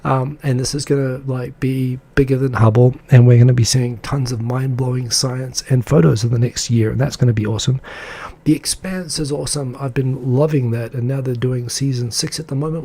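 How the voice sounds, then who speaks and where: Male live-stream host, dark gravelly tone, chatty and enthusiastic, loose with fillers. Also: medium pitch, steady tone, brisk. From English-speaking country. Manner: rambling and enthusiastic, lots of fillers; reads out and answers viewer comments. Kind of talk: casual live-stream chat.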